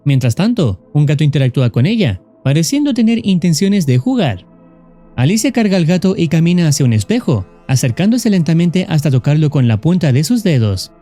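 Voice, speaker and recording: Lively, mellow male narrator. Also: fairly high pitch, a little fast, soft background music. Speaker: narrator of a horror series recap. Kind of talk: scripted narration, explaining a story.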